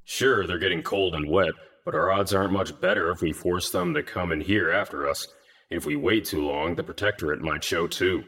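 Audiobook villain-like leader, acted by a woman: angry young man, unearthly low hiss, rough and very animated, commanding. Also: moderate pace, heavily acted. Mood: grim, commanding. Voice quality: husky, hissing, otherworldly low growl. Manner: menacing, forceful.

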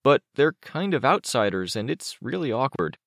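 A man voicing an excitable, defensive young boy with a complaining, exclaiming manner in an audiobook story. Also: medium pitch, moderate pace, creaky, dry recording, clean studio sound, pitched above the performer's own voice, exaggerated voice. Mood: grumbling.

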